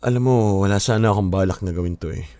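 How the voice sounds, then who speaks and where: An actor playing a polite but exasperated young son in a radio drama. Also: male voice, sits low, fast talker, warm tone, no echo, clean studio sound. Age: young adult. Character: flustered young man caught in the middle. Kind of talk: Filipino radio drama acting.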